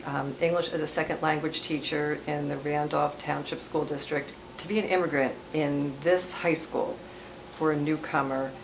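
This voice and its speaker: A woman who teaches English as a second language gives thoughtful, measured interview answers, pausing often to think. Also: deep voice, noisy background. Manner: thoughtful, measured, with frequent "um" pauses. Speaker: ESL teacher. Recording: music bed under voice.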